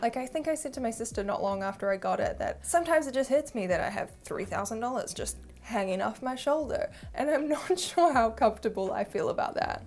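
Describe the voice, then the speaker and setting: A woman's voice: fairly high pitch, lively intonation, a little fast, few pauses, darkish tone, faint music behind. A young woman with a New Zealand accent, chatting candidly to camera as she reviews a handbag, self-deprecating and open.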